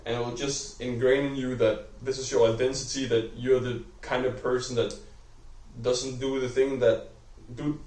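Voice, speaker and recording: A young man with a Danish accent talks unscripted to a camera, rambling and filling every pause with "like" while he corrects himself. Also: mid-range voice, a little mellow, distant mic. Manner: rambling, heavy use of "like", self-correcting. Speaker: vlogger. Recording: echoey room, voice off-mic.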